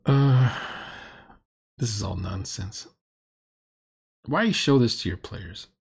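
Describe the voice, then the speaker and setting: A middle-aged man with a fairly low voice reacts off the cuff. He is sarcastic and grumbling, mocking what he watches as he comments. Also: animated, takes it slowly, darkish tone, husky. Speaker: commentator reacting to a recorded tabletop game.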